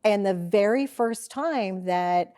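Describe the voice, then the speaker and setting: Gushing woman talk-show host, mellow voice. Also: mid-range voice, slow. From St. Louis, Missouri. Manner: gushing, effusive. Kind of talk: casual interview.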